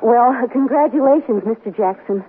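An actress playing a young, scatterbrained secretary, eager and easily flustered, in a radio comedy drama. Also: female voice, fairly high pitch, moderate pace, lightly acted. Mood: flustered, apologetic. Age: young adult. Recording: tinny, noisy old radio broadcast recording.

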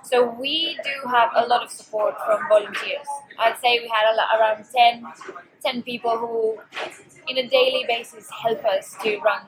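A woman's voice: fairly high pitch, fairly even intonation, moderate pace, noisy background. A woman who helps organise tag rugby events, answering interview questions hesitantly, with many fillers and laughs.

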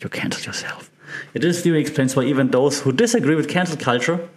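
German-accented man, conversational podcast host. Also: on the high side. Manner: conversational, halting, repeats words, checks in with "right?". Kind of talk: informal explanatory solo podcast talk.

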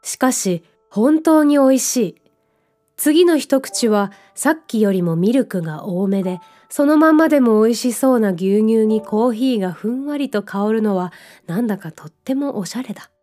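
A gentle young narrator of an audio drama, voiced by a woman. The tone is rounded and mellow, the telling soft and reflective, with quiet music underneath. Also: a little high, expressive, normal speed, close to an everyday voice. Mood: reflective, tender. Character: gentle, dreamy young narrator visited by a cat. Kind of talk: scripted radio drama narration.

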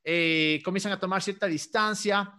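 High bright-voiced male interviewer. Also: normal speed. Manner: prompting, asks long questions. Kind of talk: conversational interview.

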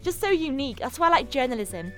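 A woman's voice: sits high, big pitch swings, fast talker, fairly bright tone, faint music behind. A young woman from northeast England, a student co-hosting a podcast, chatting enthusiastically with a nasal, cold-stuffed voice. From Darlington, northeast England. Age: young adult. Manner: enthusiastic, giggly. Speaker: podcast co-host. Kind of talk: casual chat.

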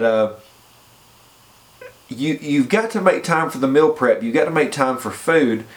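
A man with a head cold, sounding congested, giving casual fitness advice in a chatty way and often checking in with the listener. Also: mid-range voice. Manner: chatty, repeats points and checks in with "okay?". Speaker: fitness vlogger. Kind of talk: casual advice talk to camera.

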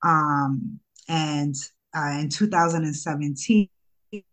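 A woman from Ghana who was raised in Harlem, telling her own story as an interview guest in a casual, halting way. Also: deep voice. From born in Ghana, raised in Harlem, New York. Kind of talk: casual conversational interview answers, personal storytelling.

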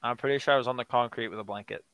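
A teenage male podcast guest who answers with dry, deadpan jokes in a casual interview. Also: mid-range voice, racing, clear, resonant tone, no echo, lo-fi sound. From United States. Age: teens.

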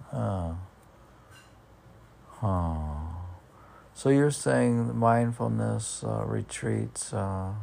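A man reading poetry informally, halting and musing, talking back to himself in a gravelly voice. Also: on the low side. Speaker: poet reading own work and commenting. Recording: noticeable background noise.

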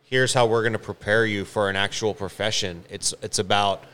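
A man's voice: fairly low pitch, steady tone, fairly quick, fairly bright tone. A man chatting casually and opinionatedly as a podcast co-host, emphatic and circling back over his words as he builds a point. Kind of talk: casual opinionated chat among friends.

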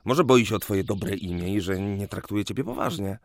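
An actor playing an earnest, nervous young suitor in a historical radio drama, speaking politely and hesitantly with some stammering. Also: male voice, lowish voice, lively intonation, moderate pace, no echo, clean studio sound. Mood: gentle, earnest.

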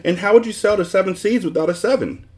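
A man voicing a pompous, excitable storybook creature with many faces, speaking in a precise, scolding, emphatic manner. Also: medium pitch, brisk, pitched above the performer's own voice, close to an everyday voice. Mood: indignant, furious.

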